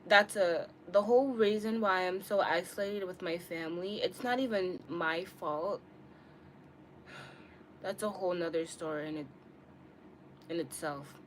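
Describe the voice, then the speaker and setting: A woman's voice: fairly low pitch, unhurried, long pauses. Candid young woman, noisy background. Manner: candid, slangy, rambling. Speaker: live streamer. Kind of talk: casual live-stream chat with viewers. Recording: clearly noisy room ambience.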